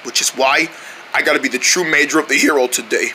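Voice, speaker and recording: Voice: mid-range voice, steady tone, fast, pushed and bright, close to an everyday voice, low-quality recording. A performer voicing a brave, boastful male general in a cartoon, talking in folksy, slangy tough talk. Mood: determined. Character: brave, swaggering, boastful male general, self-appointed hero. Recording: noticeable background noise.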